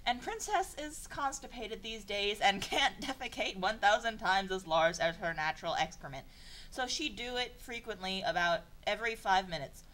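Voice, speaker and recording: A young woman reading broken fan fiction aloud for comedy, in a deadpan voice, stumbling over garbled phrases and breaking off for exasperated sarcastic asides. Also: medium pitch, normal speed, slight background noise, rough recording. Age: young adult. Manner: stumbles and self-corrects over garbled sentences.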